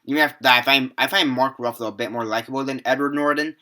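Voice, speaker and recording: A man's voice: medium pitch, steady tone, fast talker, pushed and bright. A seventeen-year-old young man giving a rambling, casual movie review, full of fillers, restarts and the odd swear word. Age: teens.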